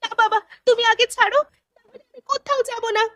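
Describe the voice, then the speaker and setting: A woman's voice: very high voice, steady tone, quick pace, pushed and bright, no echo, studio quality. A woman voicing an anxious young village daughter in a cartoon, pleading urgently. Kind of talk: cartoon drama voice acting.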